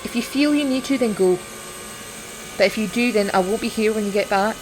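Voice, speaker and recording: A woman's voice: mid-range voice, a little fast, background noise, lightly acted. An actress playing a stern, caring, exasperated woman in an audio drama, blunt and firm. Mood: angry.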